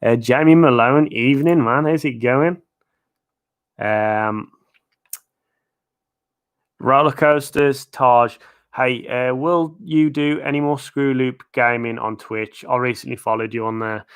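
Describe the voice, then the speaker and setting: A man's voice: medium pitch, slow, long pauses, smooth. A man hosting a live-stream Q&A about theme parks, chatty and rambling with lots of fillers and chuckles, his voice getting worn. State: losing his voice. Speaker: theme park video creator. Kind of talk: live-stream Q&A, casual chat. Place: at home, in his living room.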